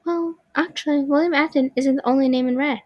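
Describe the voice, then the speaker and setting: Storybook animatronic puppet girl, timid and gentle, high and childlike, voiced by a young woman, dry close recording. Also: steady tone, a little fast, dry recording, muffled recording, natural voice. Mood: sad. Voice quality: soft, childlike. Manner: timid, pleading when scared.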